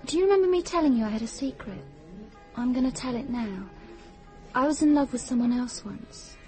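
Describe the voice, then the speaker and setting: A woman's voice: high-pitched, animated, brisk, a little mellow, faint music behind. An actress playing a possessive, secretive young woman in a radio drama, speaking with intimate, controlled devotion. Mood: intense, confiding.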